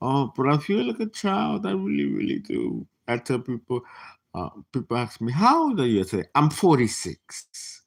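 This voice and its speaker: A man in his late fifties giving a casual tarot reading, chatty and animated, with laughing asides and dropped whispers. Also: mid-range voice, moderate pace, creaky. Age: 50s. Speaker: tarot reader.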